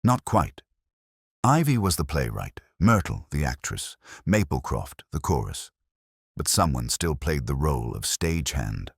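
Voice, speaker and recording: A shrewd, soft-spoken detective inspector from a mystery audiobook, played by a male narrator. The voice is very deep and husky, murmuring each knowing line at a measured, probing pace. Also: big pitch swings, no echo, studio quality, heavily acted. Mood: quietly suspicious.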